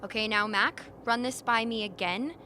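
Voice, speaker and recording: A woman's voice: a little high, moderate pace, bright, forceful tone. A woman playing a blunt, uneasy dog handler at a polar base in a radio drama. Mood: questioning. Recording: clear background noise.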